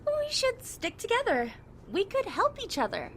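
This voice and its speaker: Woman acting very high, bright young peacemaker. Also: huge pitch swings, normal speed, heavily acted. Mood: conciliatory. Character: earnest young woman survivor, peacemaking but firm. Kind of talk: amateur audio drama acting. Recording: faint background noise.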